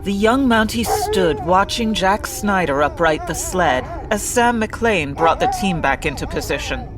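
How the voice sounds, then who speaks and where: A woman's voice: medium pitch, lively intonation, measured pace, music in the background, close to an everyday voice. A woman playing an earnest narrator of an old-time radio adventure serial, reading scripted dramatic narration. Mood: suspenseful.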